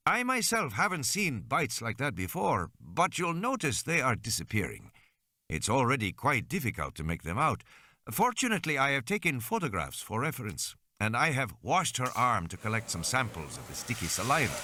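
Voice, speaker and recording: A man voicing a careful, formal male hospital doctor with a measured, precise manner. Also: medium pitch, wildly swooping pitch, pushed and bright, no room sound, clean studio sound, voice pushed up, exaggerated voice.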